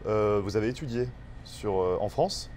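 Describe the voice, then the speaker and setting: A man's voice: on the low side, animated, normal speed, darkish tone, a little hiss or hum. A man hosting a television interview, putting questions conversationally in loose, hesitant phrasing. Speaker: TV show host, interviewer.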